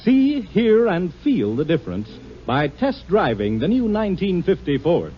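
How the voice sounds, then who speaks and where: A man's voice: high-pitched, huge pitch swings, normal speed, music in the background. A man reading a 1950 radio commercial with polished salesman delivery. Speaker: commercial announcer. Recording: dull lo-fi 1950 radio recording.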